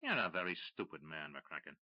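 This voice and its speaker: A man voicing a cold, ruthless male smuggler boss who speaks in a low, clipped, contemptuous way. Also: sing-song, a little fast, lo-fi sound, exaggerated voice. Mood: cold menace.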